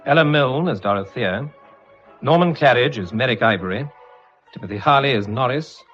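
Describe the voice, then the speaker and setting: A man's voice: medium pitch, expressive, normal speed, faint music behind. A man with an English accent reading formally as an old-time radio announcer. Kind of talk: formal scripted credit reading, mid-century radio. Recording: dull, muffled vintage radio recording.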